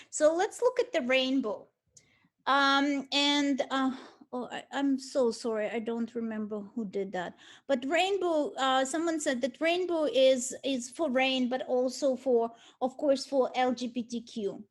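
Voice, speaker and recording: A middle-aged woman from Ukraine with a Russian accent, giving a university lecture with frequent hesitations and restarts. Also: high-pitched. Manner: hesitant, many restarts and ums. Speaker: art history lecturer.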